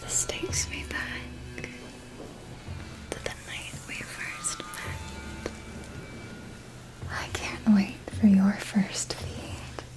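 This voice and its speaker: Seductive female vampire mentor , woman performer: close-mic ASMR whisper, extremely unhurried, tender yet manipulative and predatory. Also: medium pitch, flat delivery, over music, low-quality recording. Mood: seductive, coaxing. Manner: slow, intimate, coaxing whisper. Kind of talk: ASMR roleplay. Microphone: close ASMR microphone.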